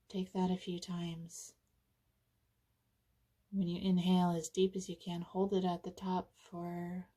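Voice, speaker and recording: A woman guides a meditation in a slightly low, mellow voice. She takes her time, leaving pauses between short phrases. Her wording is casual and conversational, delivered in a soothing, very unhurried way.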